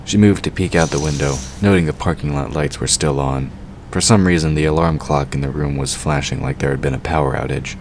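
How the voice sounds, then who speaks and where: A man's voice: extremely low pitch, fairly even intonation, brisk, slight background noise. A man narrating a fantasy audio drama from a script.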